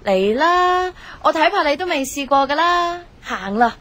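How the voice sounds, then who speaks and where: A woman's voice: high-pitched, expressive, measured pace, fairly bright tone. A woman with a Hong Kong Cantonese accent playing a carefree, teasing young woman in a radio drama, casual and playful. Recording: music under the voice.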